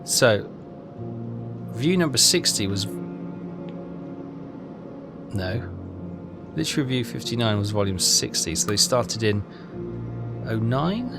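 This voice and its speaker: Low-voiced man puzzling aloud. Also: very animated, a little slow, pauses often. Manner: muttering, hesitant, puzzling things out. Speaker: gamer doing a walkthrough. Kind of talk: casual game commentary, thinking aloud. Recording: music behind the voice. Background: game music.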